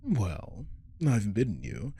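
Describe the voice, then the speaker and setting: Man voicing a low, gravelly, seductive werewolf. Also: wildly swooping pitch, mellow, heavily acted. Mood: ominous, taunting. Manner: slow, coaxing, teasing, often dropping to whisper. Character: male captive werewolf, seductive, menacing, possessive manipulator. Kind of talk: ASMR audio roleplay acting. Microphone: close microphone.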